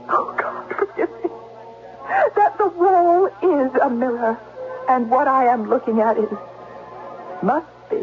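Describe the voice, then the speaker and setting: Nervous young gothic heroine, breathless suspense, old 70s radio drama, thin tinny sound with music behind. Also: female voice, sits high. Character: frightened, inquisitive young female companion in gothic manor. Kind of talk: 1970s radio drama acting with first-person narration.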